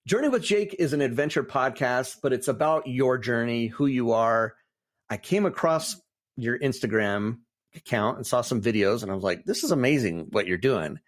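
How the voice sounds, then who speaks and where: A man hosting a podcast, chatty and enthusiastic as he interviews his guest. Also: mid-range voice. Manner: enthusiastic, rambling, warmly encouraging. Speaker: podcast host. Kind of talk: casual interview.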